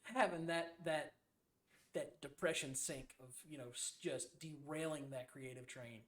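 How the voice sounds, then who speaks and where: Casual, halting male podcast host. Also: fairly high pitch, fairly bright tone. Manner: loose, halting, informal with casual swearing.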